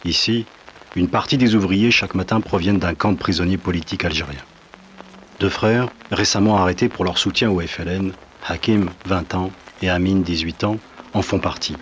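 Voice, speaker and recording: A very low-pitched man delivers scripted, documentary-like narration for an audio drama. Faint rainy outdoor ambience sits behind the voice. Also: normal speed, a bit bright, lo-fi sound, a little hiss or hum.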